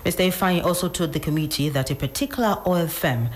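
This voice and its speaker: A Nigerian woman reading scripted radio news in a formal, measured way. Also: sits low. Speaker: radio newsreader.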